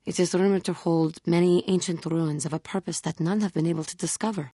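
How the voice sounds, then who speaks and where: A woman voicing an earnest, troubled young female Jedi warrior in a video game, speaking formally and with measured care in a husky voice. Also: fairly low pitch, steady tone, dark tone, no echo, clean studio sound. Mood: grave, measured. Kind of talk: scripted game character dialogue.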